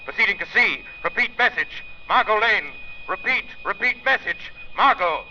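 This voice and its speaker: A man's voice: high voice, animated, normal speed, bright, forceful tone, full character voice. A man playing a resolute, mysterious crime-fighter, clipped and declamatory in 1930s radio drama style. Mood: urgent calling. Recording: muffled, noisy 1930s radio recording.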